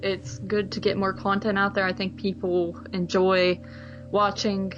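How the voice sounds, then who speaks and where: A female podcast co-host talks at a middle pitch over clearly audible music. The recording is a little dull and lo-fi, and her delivery is informal, like relaxed conversation between co-hosts. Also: measured pace. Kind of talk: casual podcast chat. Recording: slightly dull lo-fi audio, music behind.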